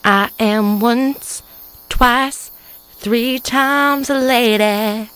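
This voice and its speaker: A woman's voice: fairly high pitch, steady tone, extremely slow, fairly bright tone, clear, resonant tone, soft background music. A woman playing a whimsical, teasing, singsong dryad in a comic stage-style audio drama.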